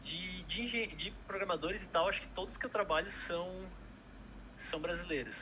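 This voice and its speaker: A young man, talking casually over a video call. His voice is bright and a bit high, and he trips over fillers and restarts often. Faint noise hums behind him. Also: a little fast, rough recording. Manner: hesitant, many fillers and restarts. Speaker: product manager guest. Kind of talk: casual interview chat.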